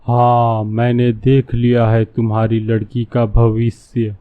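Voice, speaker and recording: A man's voice: medium pitch, completely flat delivery, takes it slowly, noisy background, close to an everyday voice. A man playing a scheming, lecherous fake holy man in a cartoon, speaking in a sly, pompous way. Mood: smoothly persuasive. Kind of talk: cartoon dialogue. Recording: narrow, phone-like muffled sound.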